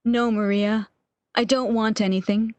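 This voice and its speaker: Woman acting fretful bedridden woman, high-voiced. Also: steady tone, a little slow, a little mellow, no room sound, close to an everyday voice. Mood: weary, suspicious. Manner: fretful, pleading, questioning. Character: bedridden, anxious, regretful sick woman longing for lost love. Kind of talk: radio play acting.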